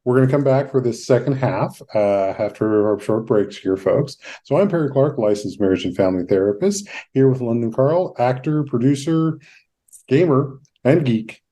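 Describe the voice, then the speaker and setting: A man hosting an interview podcast in a conversational, reflective way, with measured and somewhat wordy phrasing. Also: medium pitch, dark tone. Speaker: podcast host, therapist.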